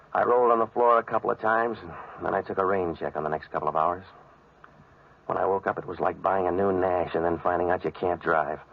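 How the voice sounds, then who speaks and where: An actor playing a cynical, wisecracking American private eye in a 1940s hardboiled radio drama, terse and deadpan. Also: male voice, low-pitched, creaky, slightly rough, heavily acted. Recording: dull vintage radio recording.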